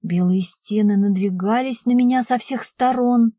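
A woman's voice: a little high, normal speed, warm tone, no echo, clean studio sound. A woman narrating first-person fiction as a scripted audiobook.

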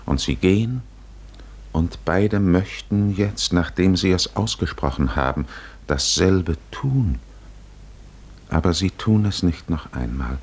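A man narrating a 1950s literary radio play in a musing, measured manner with deliberate pauses. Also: low voice, husky.

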